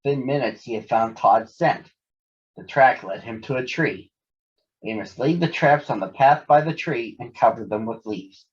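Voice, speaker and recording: A man's voice: medium pitch, moderate pace, muffled recording. A man narrating a children's story from a script as a storybook reader.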